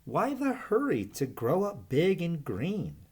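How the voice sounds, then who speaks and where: Man as a young boy snake, carefree, curious, eager, pitched-up storybook voice. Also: huge pitch swings, takes it slowly, darkish tone, husky, full character voice.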